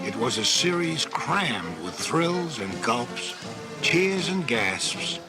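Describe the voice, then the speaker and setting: A man reading dramatic scripted sports history with theatrical, deliberate phrasing over background music. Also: fairly high pitch, gravelly, poor audio.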